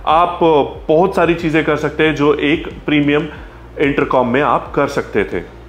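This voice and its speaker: Higher-pitched Indian man reviewing, roomy sound. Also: moderate pace. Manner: explanatory, addresses viewers directly with check-in tags. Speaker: host, motorcycle gear store vlogger. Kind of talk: product review to camera. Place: small helmet shop, indoors. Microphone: clip-on lapel mic. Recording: roomy echo, clear background noise.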